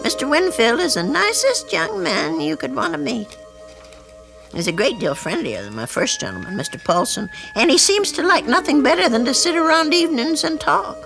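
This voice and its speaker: An older woman playing a kindly, lonely elderly widowed landlady in old-time radio drama, gentle, fussing and motherly. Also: high-pitched, big pitch swings, normal speed, gravelly, full character voice, rough recording. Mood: fond, confiding. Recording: archival radio drama with music.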